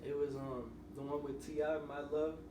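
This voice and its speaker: A man's voice: fairly high pitch, little pitch movement, moderate pace. A young man, a musician guest on a podcast, telling loose, rambling stories in casual conversation. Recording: roomy, distant mic with noise.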